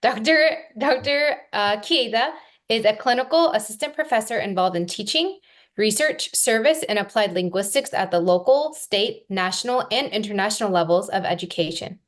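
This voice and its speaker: A woman's voice: mid-range voice, animated, moderate pace. A young woman, a student host, reading a prepared introduction of a guest speaker with a few small slips. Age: young adult.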